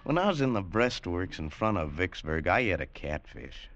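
An actor playing a folksy, grumbling veteran cavalry trooper with a rustic drawl in a 1950s radio drama. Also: male voice, low-pitched, big pitch swings, normal speed, a bit bright, dull audio. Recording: old radio broadcast, faint noise.

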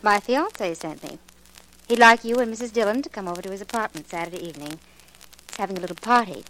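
Woman playing a coy society girl, mid-pitched. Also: lively intonation, fast, a bit bright, natural voice, low-quality recording. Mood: genteel, coaxing. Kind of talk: 1940s radio drama acting. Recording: hissy, clicky 1940s radio recording.

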